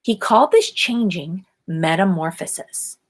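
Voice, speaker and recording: A woman reading a children's story aloud in a deliberate, expressive and emphatic way. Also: lowish voice. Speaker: storybook reader.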